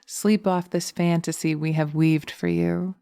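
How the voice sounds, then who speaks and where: Woman playing a sultry, breathy witch, low and mellow. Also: fairly even intonation, no echo, studio quality. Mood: soothing, hypnotic. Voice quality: breathy, often dropping to a whisper. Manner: sultry, drawn-out, teasing, commanding. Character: seductive, vengeful witch, domineering and mocking. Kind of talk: slow hypnotic roleplay audio, ASMR. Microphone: close microphone, dry binaural recording.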